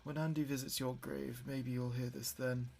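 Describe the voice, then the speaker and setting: An actor playing a distraught, guilt-ridden man in an audio drama, haltingly and emotionally pleading with his dead father. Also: mid-range voice, flat delivery, vocal fry, a little mellow, slight background noise, lightly acted. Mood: hesitant, pleading.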